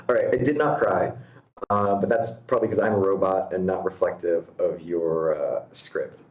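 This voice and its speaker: A man giving casual, constructive feedback on a screenplay, halting as he thinks aloud. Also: low-pitched, echoey room, poor audio. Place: online video meeting.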